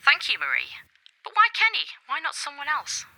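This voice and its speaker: A woman's voice: sits high, wildly swooping pitch, normal speed, bright, forceful tone, full character voice. A woman voicing a guarded, grieving widow of a murder victim in an audio drama, speaking hesitantly and sometimes almost in a whisper. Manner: guarded, hesitant, then opening up. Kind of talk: amateur audio drama acting.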